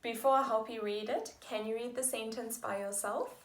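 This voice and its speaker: A woman teaching young children, slowly sounding out sentences and praising them warmly. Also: a little high, steady tone. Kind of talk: slow, patient lesson for young children. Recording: echoey room.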